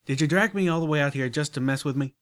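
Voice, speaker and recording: A man's voice: on the high side, quick pace, voice pushed up, close to an everyday voice. Applejack, a man doing a drawling country mare. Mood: flustered. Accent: Southern US rural (put on). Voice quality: drawling, exasperated. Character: gruff country farm mare, blunt and flustered.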